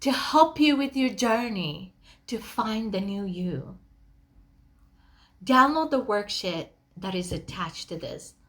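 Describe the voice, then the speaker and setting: A woman's voice: medium pitch, lively intonation, slow, fairly bright tone. A woman giving a motivational talk, speaking directly to listeners in an emphatic, conversational way with rhetorical questions. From Kenya.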